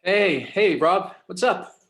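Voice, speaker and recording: A man playing a harried, hesitant grown son in a screenplay table read, with a halting delivery that stumbles over words. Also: sits high, animated, a bit bright, heavily acted. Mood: casual. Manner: halting, stammering.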